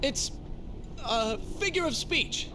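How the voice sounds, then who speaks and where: An actor playing a young prince in a video game cutscene, sarcastic, brooding and impatient, with music behind. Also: male voice, very high-pitched, expressive, slow pace, noisy background, full character voice. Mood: subdued. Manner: sardonic, impatient. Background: cinematic music.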